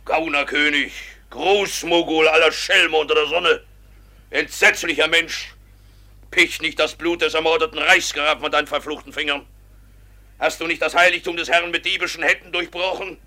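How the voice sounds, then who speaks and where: Piercing, pompous scolding priest. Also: male voice, fairly high pitch, bright, forceful tone. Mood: outraged, denouncing. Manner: pompous, declamatory, preachy. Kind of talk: classical stage-style radio drama acting.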